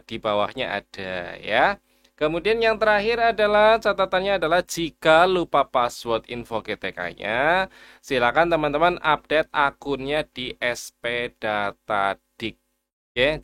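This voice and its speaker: A man's voice: mid-range voice, fairly bright tone, clean tone. A man giving a casual, reassuring screen-share tutorial and addressing viewers as friends. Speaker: education YouTuber explaining teacher data portal.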